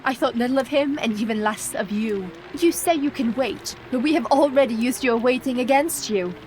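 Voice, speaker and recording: A woman's voice: fairly high pitch, normal speed, a little mellow, natural voice, poor audio. An actress playing a proper, resolute young wife facing vampires in a radio play, firm and formal in her speech. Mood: defiant. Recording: faint background noise.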